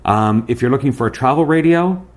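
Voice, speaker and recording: A man's voice: mid-range voice, animated, a little fast. A man hosting a product review in a conversational, emphatic way, speaking directly to viewers. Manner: conversational, emphatic, addresses viewers with rhetorical questions. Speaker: host and radio reviewer. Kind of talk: casual product review to camera.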